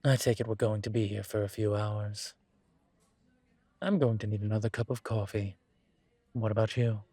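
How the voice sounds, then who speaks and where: A man playing a suave incubus demon professor in a roleplay audio drama, teasing and protective, with a wry, measured delivery. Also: lowish voice, steady tone, warm tone, husky, dry recording. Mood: amused, relaxed.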